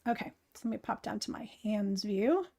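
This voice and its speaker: A woman hosting a live craft stream, her voice raspy and a bit hoarse, gushing over viewers' projects and chatting through instructions with plenty of ums. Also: medium pitch, lively intonation, moderate pace, mellow. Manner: enthusiastic, gushing praise, frequent ums. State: hoarse from heavy recording work. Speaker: craft livestream host. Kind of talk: casual live craft demonstration.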